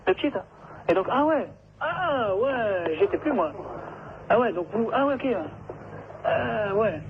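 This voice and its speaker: A man's voice: extremely high pitch, sing-song, slow pace. A man talking casually and agreeably on a phone call, informal and a little hesitant. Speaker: haulage company employee, prank victim. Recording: thin, slightly noisy telephone line.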